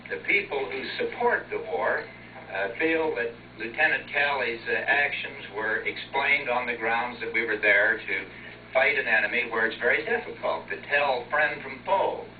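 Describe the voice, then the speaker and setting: An American man speaks in a deliberate, measured way, as if giving considered commentary. The recording is narrow and roomy, with faint hiss from an old tape. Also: mid-range voice, recorded from a distance. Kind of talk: considered spoken opinion. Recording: tinny, echoey old off-air tape recording.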